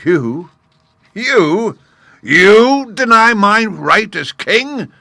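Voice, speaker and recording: A man's voice: mid-range voice, big pitch swings, slow, fairly bright tone, dry recording, voice pushed up. A man voicing a boastful male lion who thinks he is king of the forest, roaring and blustering. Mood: furious.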